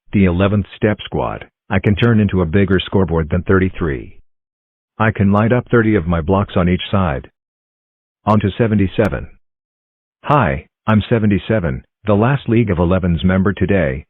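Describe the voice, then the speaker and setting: Friendly little counting-block cartoon figures played by a man chirp through self-introductions in a low voice. The sound is thin and phone-like, dry and close. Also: no echo. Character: cheerful talking number block characters. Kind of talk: fan-made cartoon voicing. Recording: tinny phone-like dry recording.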